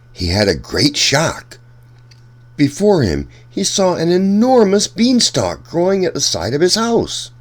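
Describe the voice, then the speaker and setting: A man narrates a children's storybook in a low voice with lively, swinging intonation. He reads slowly and expressively, as a storytime host speaking to young listeners. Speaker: storytime reader.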